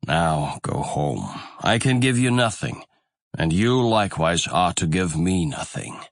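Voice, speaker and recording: A man's voice: sits low, expressive, unhurried, no room sound. A man voicing a poor, generous beggar in a storybook, with solemn, old-fashioned phrasing. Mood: earnest, plain.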